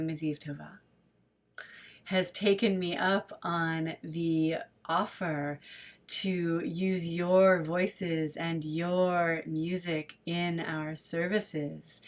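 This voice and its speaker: A woman who leads an online prayer service, making earnest, inviting announcements and listing ideas in long runs. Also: fairly low pitch. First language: English. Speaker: program director and service leader.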